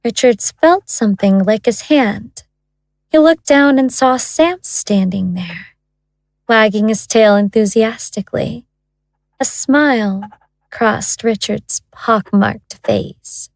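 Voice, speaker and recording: A woman plays a hard-boiled storyteller narrating an audio crime tale. She is wry and matter-of-fact, taking her time, with a creaky edge and a very dry, close sound. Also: a little high, takes it slowly, no room sound. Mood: grim, matter-of-fact.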